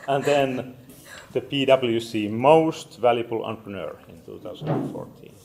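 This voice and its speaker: A man's voice: medium pitch, a little slow, vocal fry, darkish tone. A middle-aged man hosting an event, briefly introducing a guest speaker on stage in a lecture room. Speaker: event host.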